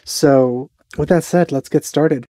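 A man in his thirties giving casual, low-key reaction commentary as a video host, close on the mic. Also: slow, mellow, dry recording. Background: faint music.

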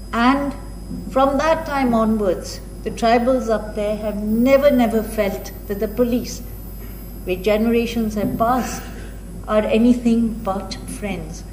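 A woman in her sixties with an Indian accent gives a keynote address at a podium, telling anecdotes in a measured, deliberate way with frequent pauses. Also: on the high side, a little mellow. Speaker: keynote speaker, retired senior police officer. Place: indoor hall at a podium. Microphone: gooseneck podium microphone. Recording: roomy echo, clear background noise.

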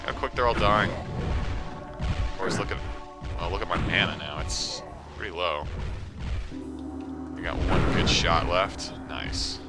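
A man's voice: sits low, slow, pauses often. A congested young man giving casual, joking commentary while he plays a video game, talking to himself as he goes. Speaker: let's play commentator. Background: loud game music.

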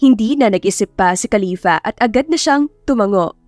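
A woman's voice: mid-range voice, expressive, brisk, darkish tone, no echo, faint music behind. A woman with a Filipino accent narrating a scripted story in a dramatic storytelling style. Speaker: audiobook narrator.

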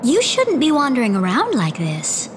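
A woman's voice: high-pitched, huge pitch swings, moderate pace, background noise. An actress playing a cautious young female rabbit-folk villager. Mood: wary. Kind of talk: video game cutscene acting.

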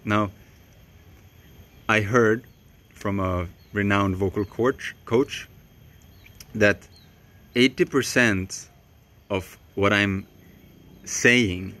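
Slow, calm young Swedish man teaching. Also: lowish voice. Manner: slow, calm, deliberate with long pauses. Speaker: voice coach. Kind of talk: teaching to camera. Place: outdoors in a tropical garden.

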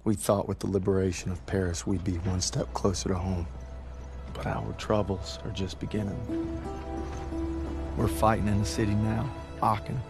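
A young American infantryman in a war game cutscene. His voice is low with a gravelly edge, earnest and homesick as he narrates. A musical score sits behind him. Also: little pitch movement, normal speed, music in the background. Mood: somber, weary. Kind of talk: somber scripted voice-over narration.

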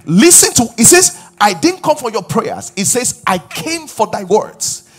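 Pastor, man in his forties, husky, pitch leaps around while preaching on a big stage, faint music under. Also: a little slow. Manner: animated preaching, rising loud on key points, asking the crowd questions. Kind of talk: sermon. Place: large church stage with live audience.

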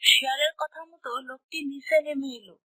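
A woman's voice: sits high, level tone, fairly quick, pushed and bright, dry recording. A woman narrating a scripted children's story as a storyteller.

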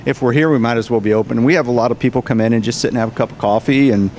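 Middle-pitched American man, background noise. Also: very fast, a little mellow. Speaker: cafe owner being interviewed. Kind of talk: casual explanatory interview talk. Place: indoors in a cafe, behind the counter. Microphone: interviewer's handheld microphone. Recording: clear background noise on location.

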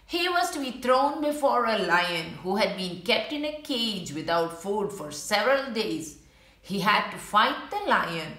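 A woman's voice: mid-range voice, a bit bright. A woman reading a children's storybook aloud, adding simple explanations for young listeners. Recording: roomy, echoing room.

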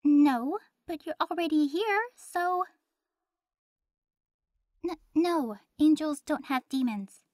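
A woman's voice: sits high, slow, long pauses, a little mellow, no echo, studio quality. A woman playing an earnest, prim angel who gets a little flustered, in an audio roleplay. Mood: flustered, insistent.

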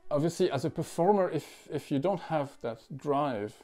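A man in his forties from France, a musician talking thoughtfully at home, with many pauses, fillers and restarts. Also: a little high, expressive, warm tone, faint music behind. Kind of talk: reflective interview talk. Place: living room at home.

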